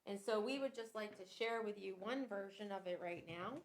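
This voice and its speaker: A woman introducing a church passion play reading, speaking casually with many pauses and fillers. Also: medium pitch, slight background noise. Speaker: church drama presenter.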